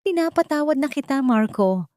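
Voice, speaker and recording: A woman voicing a wronged young heroine in an audio love drama, gentle, firm and composed. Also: high-pitched, animated, normal speed, no room sound, studio quality, voice pushed up. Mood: calm, resolute. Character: wronged young woman, calm, resolute and tender.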